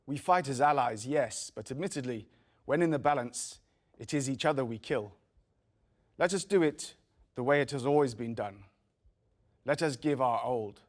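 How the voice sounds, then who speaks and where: A man's voice: mid-range voice, normal speed, long pauses, natural voice. A man voicing a zealous, troubled visionary who solemnly addresses troops in a fantasy drama read. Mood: solemn, urgent.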